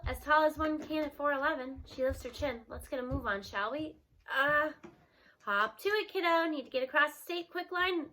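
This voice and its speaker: A woman reading a children's novel aloud at home, acting out the characters' lines and slowing down for emphasis. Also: high voice, pushed and bright, slight background noise. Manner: acts out dialogue with raised voice and slows for emphasis.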